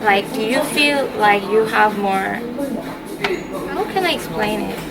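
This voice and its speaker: Brief: Korean-native female host, halting careful English, loud music behind. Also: mid-range voice, vocal fry, low-quality recording. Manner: searching for English words, many short backchannels. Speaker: interviewer host. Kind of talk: casual conversational interview.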